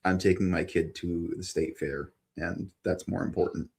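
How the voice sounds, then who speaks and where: A young man with a gravelly voice, co-hosting a casual live stream over a video call and bantering in an easygoing way. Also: deep voice, fairly even intonation, normal speed, darkish tone. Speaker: co-host.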